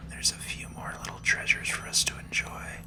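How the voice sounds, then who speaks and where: Whispered ASMR gaming host. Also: moderate pace. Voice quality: breathy unvoiced whisper. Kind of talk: whispered ASMR game commentary.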